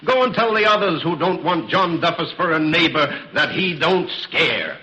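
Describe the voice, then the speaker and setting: A man's voice: fairly high pitch, expressive, moderate pace, sharp tone, low-quality recording. An actor playing a stubborn, scornful middle-aged father in an old radio horror drama, talking with defiant bluster. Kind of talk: 1940s radio horror acting.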